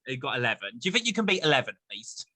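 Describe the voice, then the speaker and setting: A man's voice: mid-range voice, big pitch swings, very fast, pushed and bright, slightly rough, dry recording. An English man hosting a chatty theatre interview and quiz, effusive and encouraging in manner. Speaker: host of a theatre chat show.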